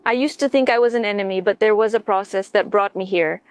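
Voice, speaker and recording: A woman chatting casually and jumping in with quick remarks as a co-host. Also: medium pitch, smooth, no echo. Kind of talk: casual conversation.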